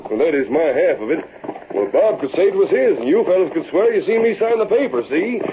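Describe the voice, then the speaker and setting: An actor playing a shifty, defensive mine partner with a rustic drawl in an old radio Western. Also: male voice, high-pitched, sing-song, brisk. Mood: evasive. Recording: muffled, hissy old radio recording.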